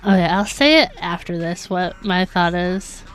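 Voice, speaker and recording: A woman's voice: lowish voice, animated, measured pace, faint music behind. A woman livestreaming a game, talking casually in a chatty, giggly, informal way. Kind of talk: casual live gaming commentary.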